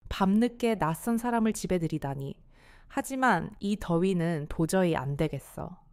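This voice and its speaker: A woman's voice: on the low side, lively intonation, normal speed, darkish tone, clear, resonant tone, no room sound, clean studio sound. A woman voicing a lonely older wife, hesitant and introspective, in an audio drama. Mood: weary, uneasy.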